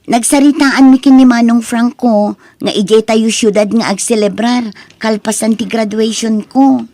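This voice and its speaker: A woman's voice: on the high side, fairly even intonation, normal speed, dark tone, dry recording, natural voice. A woman playing an earnest, respectful young student daughter in an audio family drama, speaking politely. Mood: reassuring. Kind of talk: Ilocano radio-style drama acting.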